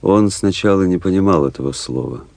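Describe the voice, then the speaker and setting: A man playing a composed, daring army officer who narrates his own tale in a measured, grave, literary way for a radio play. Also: low-pitched, lively intonation, gravelly, a little mellow. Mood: calm, reflective. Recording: music behind the voice.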